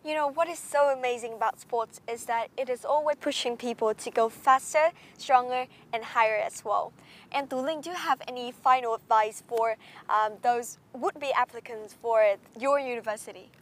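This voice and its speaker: A young woman reporter leads a campus tour, chatty and upbeat. Her voice sits fairly high, and she fills pauses with soft ums as she asks questions. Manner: upbeat, frequent um fillers. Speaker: field reporter. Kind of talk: hosted campus tour interview.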